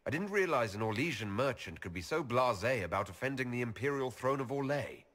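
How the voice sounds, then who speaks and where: Male elf leader, husky, earnest and direct, reverberant. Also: medium pitch, expressive, brisk, fairly bright tone. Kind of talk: video game voice acting.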